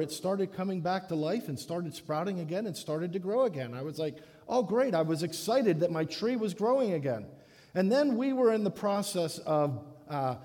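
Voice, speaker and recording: A man from the Dominican Republic preaching a sermon in a church, halting often and restarting his phrases. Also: on the high side, dark tone. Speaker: guest preacher. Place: church sanctuary. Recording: echoey church hall.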